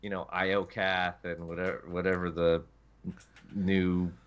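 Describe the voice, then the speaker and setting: A man's voice: low-pitched, little pitch movement, measured pace, clear, resonant tone, lo-fi sound. A man talking casually on a gaming panel, explaining his opinions at length and with emphasis. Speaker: podcast panelist. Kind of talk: casual panel discussion about a video game.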